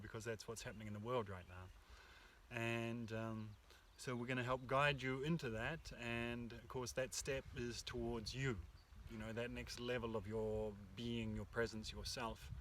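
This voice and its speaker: A man co-hosting a workshop, talking in a calm, reflective and hesitant way, recorded outdoors in the countryside. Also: medium pitch, far from the mic, a little hiss or hum. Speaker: workshop co-host. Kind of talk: casual spiritual talk. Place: outdoors in the countryside under an oak tree.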